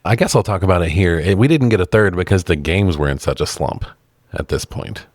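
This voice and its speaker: Easygoing deep-voiced man, dry sound. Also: expressive, a little fast, a little mellow, dry recording. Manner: easygoing, interjects short agreements and chuckles. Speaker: podcast co-host. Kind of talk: casual reactive chat.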